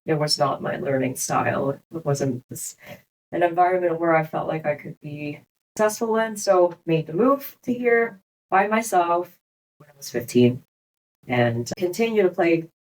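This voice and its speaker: A young adult woman from Hong Kong, speaking as a guest on a business podcast interview, reflective and unhurried, laughing often. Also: lowish voice, lively intonation, a little mellow, rough recording. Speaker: clinic co-founder, guest.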